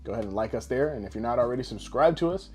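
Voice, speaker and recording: A man's voice: medium pitch, expressive, racing. Boisterous man hosting over guitar music. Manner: boisterous, rambling, tongue-in-cheek showman patter. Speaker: podcast co-host. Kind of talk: casual, jokey podcast chat.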